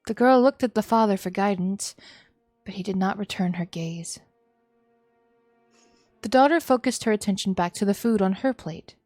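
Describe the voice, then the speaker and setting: A woman gives a dramatic, expressive narration of a visual novel as a streamer. Her voice is mid-pitched and recorded close and dry, with music playing softly behind it. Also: moderate pace, pauses often, dry recording.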